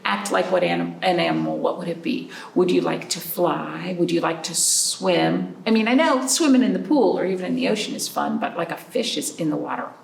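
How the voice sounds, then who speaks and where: Animated woman hosting a children's storytime. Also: on the low side, a little fast, creaky, hardly any pauses. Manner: animated, addresses children with simple questions. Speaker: children's library storytime host. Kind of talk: picture-book reading and chat for young children. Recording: roomy, distant mic with background noise.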